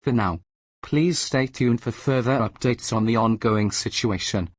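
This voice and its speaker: A man reading a formal emergency broadcast announcement in a measured, solemn manner. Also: medium pitch, a little hiss or hum.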